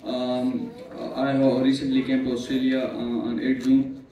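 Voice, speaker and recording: A hesitant man speaks off the cuff, his delivery flat and full of "uh" and "um". The sound is dull and muffled, heard from far off in a very echoey hall. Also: mid-range voice, a little slow. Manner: hesitant, filled with uhs and ums. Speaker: intern pharmacist. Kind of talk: impromptu remarks at an event. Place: large hall at a multicultural event.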